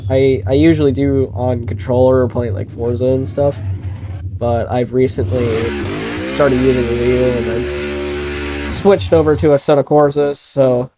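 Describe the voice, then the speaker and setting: A man with a stuffy nose from a sinus infection, giving relaxed, rambling commentary while driving a racing sim. He often restarts his sentences and blurts out short exclamations. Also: medium pitch, noisy background. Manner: rambling and easygoing, with self-corrections and sudden exclamations while driving. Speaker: sim racing video creator. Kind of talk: casual gameplay commentary.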